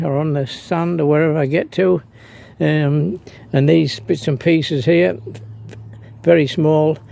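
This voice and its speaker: A man's voice: a little high, dark tone. A man giving a casual, unscripted demonstration in an understated, hesitant way, often trailing off and picking the thread up again. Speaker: amateur radio operator, vlogger. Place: outdoors, partly on a breezy beach. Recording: clearly noisy outdoor ambience.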